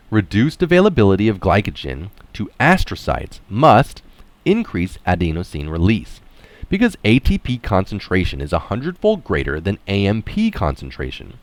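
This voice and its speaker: A male narrator with a deep voice reads a written piece aloud, his pitch moving with plenty of variation. He shifts between formal reading and informal explanation. The sound is dry, with very little room in it. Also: dry recording. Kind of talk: article read aloud with casual explanatory asides.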